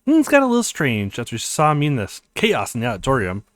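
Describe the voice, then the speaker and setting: A man's voice: medium pitch, wildly swooping pitch, fast talker, a bit bright, a little hiss or hum. A man voicing a bubbly, teasing young college woman, an affectionate girlfriend in a visual novel. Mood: upbeat.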